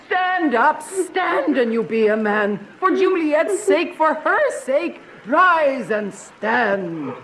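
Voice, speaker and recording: A woman's voice: high voice, very animated, slow pace, few pauses, fairly bright tone, full character voice, low-quality recording. A woman playing a fretful, loyal nurse in a Shakespearean radio drama, with wailing, repetitive, theatrical delivery. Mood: lamenting. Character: fretful, lamenting female nurse, loyal servant. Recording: clear background noise with ambience.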